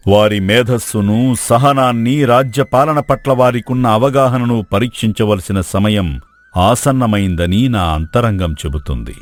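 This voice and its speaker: A man playing a humble, troubled king in a storybook drama, speaking formally, respectfully and deliberately. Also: lowish voice.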